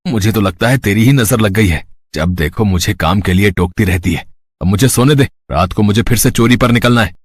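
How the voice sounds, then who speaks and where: A man's voice: on the low side, a little fast, husky, dry recording, pitched below the performer's own voice. Guy doing a luckless small-time thief in a cartoon, grumbly and sorry for himself, dry close sound. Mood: irritable, sleepy. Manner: grumbling, self-pitying. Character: sullen, luckless village thief, easily frightened husband. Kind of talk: Hindi moral-story cartoon voice acting.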